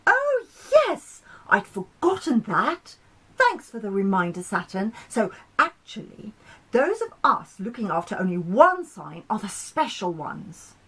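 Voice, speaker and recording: A woman voicing the Sun, a cheerful, excitable, self-pleased male character in a short story, with delighted exclamations. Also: medium pitch, unhurried, husky, full character voice, rough recording.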